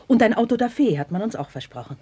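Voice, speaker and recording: A woman playing a lively, frivolous, callously cheerful court lady in a 1950s radio drama. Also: on the high side, fast, breathy edge. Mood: eager, blithe. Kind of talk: 1950s German classical radio drama acting.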